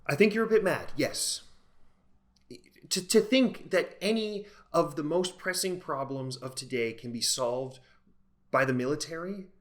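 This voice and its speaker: A man's voice: fairly high pitch, unhurried, close to an everyday voice. A man playing a principled environmentalist debater, earnest and declarative, in a scripted dialogue. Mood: firm. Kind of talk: scripted stage-style dialogue.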